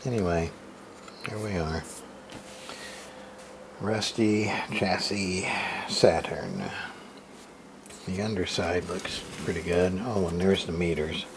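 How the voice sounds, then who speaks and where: A man with a lowish voice talks over clear background noise in an amateur recording. He speaks casually and very slowly, halting often as he shows equipment in a matter-of-fact, half-muttered way. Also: fairly even intonation. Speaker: hobbyist radio repairer, video host. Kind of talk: casual unscripted show-and-tell of equipment.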